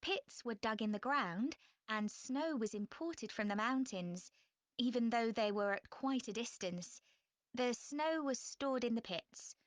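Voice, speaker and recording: A young female student talks through a class project in a scripted exam-listening dialogue. Her voice sits a bit high and is recorded very dry. She is measured, chatty and politely frank. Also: animated, no echo. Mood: informative, interested.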